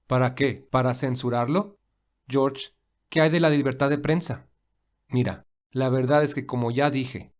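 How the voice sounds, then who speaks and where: Reserved young millionaire, played by a man, measured. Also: medium pitch, natural voice. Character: William, reserved young millionaire, weary and principled. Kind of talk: audio story dialogue.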